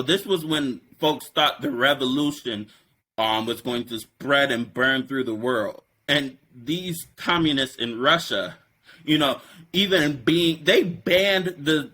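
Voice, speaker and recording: Guy hosting a politics podcast, bright edgy voice, rambles with lots of ums. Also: medium pitch, low-quality recording. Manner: rambling, animated, many fillers. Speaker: podcast host. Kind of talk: casual political discussion.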